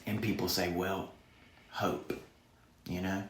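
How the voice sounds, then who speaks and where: A man's voice: sits low, flat delivery, slow, reverberant, slight background noise. A man with a Southern accent, a pastor speaking earnestly in a podcast interview and quoting scripture. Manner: earnest, preacherly, quotes scripture. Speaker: student pastor, guest.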